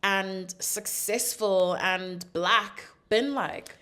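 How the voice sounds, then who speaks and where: A woman's voice: medium pitch, steady tone, extremely slow, a bit bright. A woman from South Africa hosting a casual podcast interview, effusive in her praise and questions. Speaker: podcast host.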